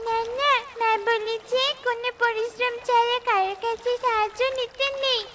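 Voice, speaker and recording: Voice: extremely high pitch, little pitch movement, normal speed. A performer voicing an innocent, honest little girl bird in a cartoon, with a childlike, earnest manner. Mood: earnest, questioning. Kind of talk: Bengali cartoon dialogue. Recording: loud music bed under voice. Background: music.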